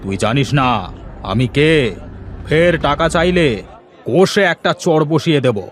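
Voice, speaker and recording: A man's voice: on the high side, lively intonation, measured pace. A man voicing a greedy, bullying village headman in a fairy-tale cartoon, speaking with threats and contempt. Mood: threatening.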